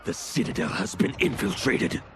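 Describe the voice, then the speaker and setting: A male actor playing a short-tempered, domineering villain overlord in a video game, dramatic and commanding with a gravelly voice. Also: moderate pace, noisy background, close to an everyday voice. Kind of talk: video game cutscene acting.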